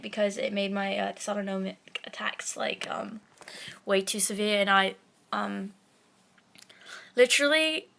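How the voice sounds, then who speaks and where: Bright-voiced young woman who stutters. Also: medium pitch, creaky. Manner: stutters and repeats words, many fillers, frank and profane. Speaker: vlogger. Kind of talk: casual personal vlog.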